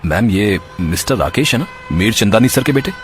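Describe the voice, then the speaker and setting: A man's voice: on the low side, animated, moderate pace, slight background noise, natural voice. An actor playing a polite, humble young man in a story, with a deferential, hesitant manner. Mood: respectful. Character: polite, humble, hesitant young male employee and dutiful son.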